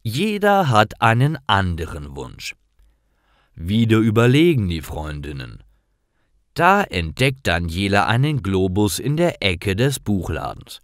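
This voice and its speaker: A man narrating scripted stories for language learners in simple, clear sentences. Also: on the low side, very animated, a little slow, no room sound, clean studio sound.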